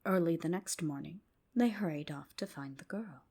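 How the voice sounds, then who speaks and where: A woman narrating a folk tale as ASMR, with a soft, whispery, hushed bedtime delivery. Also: deep voice, normal speed, slightly rough. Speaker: storyteller. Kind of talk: ASMR storytelling, scripted narration.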